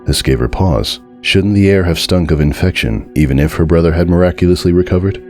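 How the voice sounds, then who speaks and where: Very deep-voiced man narrating horror. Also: fairly quick, darkish tone, soft background music. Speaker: narrator reading a fan horror story. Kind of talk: dramatic scripted narration.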